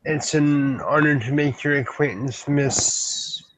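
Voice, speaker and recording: A man plays a well-mannered troll in a tabletop game. He talks very slowly, with halting, formal courtesy and a deferential politeness. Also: on the high side, steady tone, fairly bright tone, poor audio. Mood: courteous.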